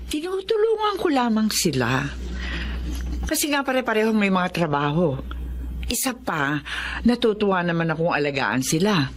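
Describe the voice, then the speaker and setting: A woman's voice: medium pitch, sing-song, moderate pace, pauses often, slight background noise, lightly acted. An actress playing a doting, fretful older Filipino mother and grandmother in a radio drama.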